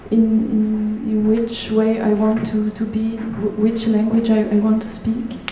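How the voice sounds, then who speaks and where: A woman's voice: a little high, completely flat delivery, unhurried, dark tone, soft background music. A woman from Switzerland, answering on a panel and hesitantly searching for words. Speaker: writer, panel guest. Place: public event room. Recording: echoey room, faint noise.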